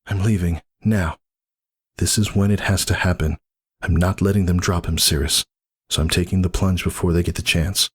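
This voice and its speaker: A man's voice: low voice, steady tone, moderate pace, husky, voice pushed up. A man voicing a determined, defiant expectant pegasus mother, resolute and intense, in a dramatic story reading. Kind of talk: dramatic fan fiction reading.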